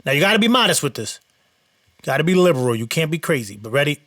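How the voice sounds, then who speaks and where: A man's voice: on the high side, fast, noisy background. A man with a nasal voice, hosting a casual studio tutorial on mixing. He talks loosely and rambles a little, with plenty of fillers. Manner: relaxed, rambling explanations with fillers. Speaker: mixing engineer and channel host. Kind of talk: casual screen-share tutorial.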